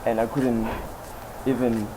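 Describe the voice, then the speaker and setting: A man's voice: medium pitch, little pitch movement, measured pace, background noise. Hesitant young man. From South Africa (Eastern Cape). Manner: hesitant, many ums and "actually". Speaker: first-year law student, interviewee. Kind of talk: casual interview answers. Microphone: headset. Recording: background music under voice.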